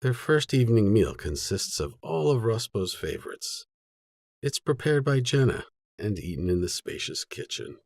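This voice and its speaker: A man narrates literary fiction in a low voice with a husky grain. His delivery is measured and sober, yet his pitch moves in a lively way through the sentences. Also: no echo. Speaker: audiobook narrator.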